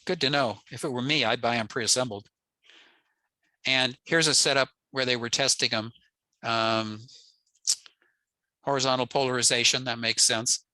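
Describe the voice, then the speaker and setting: A man's voice: medium pitch, steady tone, moderate pace, long pauses, sharp tone, no echo, poor audio. An older American man giving an informal technical slide presentation in a matter-of-fact, plain-spoken way. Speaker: presenter, amateur radio enthusiast. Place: indoors, on a video call.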